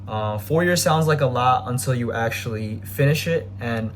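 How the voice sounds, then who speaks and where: A man's voice: mid-range voice, moderate pace, a little hiss or hum. A man in his early twenties giving a casual talking-to-camera reflection, rambling with many fillers and restarts and laughing at his own slips. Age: 20s. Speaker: vlogger.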